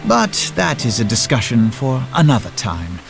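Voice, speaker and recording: A man's voice: mid-range voice, big pitch swings, normal speed, vocal fry, over music. A man voicing a wry, eloquent strategist in a fantasy video game, with articulate, formal and sardonic phrasing. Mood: grave, concerned. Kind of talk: dramatic fantasy game cutscene acting.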